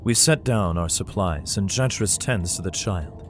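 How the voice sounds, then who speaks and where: A man's voice: sits low, a little slow. An actor playing a grim, protective father in a science fiction audio drama, somber and guarded as he tells his story. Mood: somber narration. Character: grim, protective father fleeing alien invasion with his children. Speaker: narrator and lead character. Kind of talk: dramatic first-person audio drama narration. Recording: music under the voice. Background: dramatic music.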